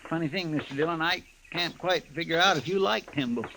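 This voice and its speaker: An actor playing an eager, folksy, stammering Old West sidekick in a 1950s radio western. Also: male voice, fairly high pitch, a little fast, creaky, pushed and bright, slight background noise, rough recording. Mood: chatty, puzzled. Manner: hesitant, stammering, deferential.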